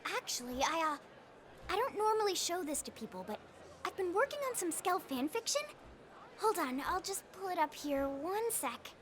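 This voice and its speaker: A young woman playing an excitable, geeky mech enthusiast in a video game, with a chatty, informal manner. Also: very high voice, moderate pace, full character voice. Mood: sheepish. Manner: gushing, informal, bubbly. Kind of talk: English video game cutscene acting.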